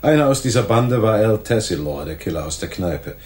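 An actor playing a calm, polite, quietly amused police detective in a noir radio drama, measured and knowledgeable. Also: male voice, on the low side, reverberant, lightly acted. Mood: matter-of-fact.

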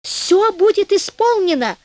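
A woman voicing a sly male devil who speaks in a low, insinuating way and then wails and begs. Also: expressive, a little slow, no room sound. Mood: pleading. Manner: insinuating, turning to loud pleading. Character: sly wheedling male devil, later wailing.

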